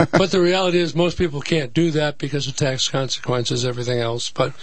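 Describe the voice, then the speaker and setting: A man with a slightly hoarse voice, a financial advisor explaining market patterns as a guest in a radio interview. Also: on the high side, a little fast, dry recording. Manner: explanatory, steady. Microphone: studio microphone.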